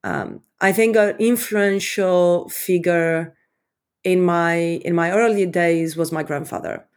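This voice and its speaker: A woman's voice: lowish voice, fairly even intonation, slow pace. A woman in her forties, from Romania, speaking English as a second language as an interview guest, thoughtful and repeating words with many fillers. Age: 40s. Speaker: company leader, guest. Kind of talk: interview conversation.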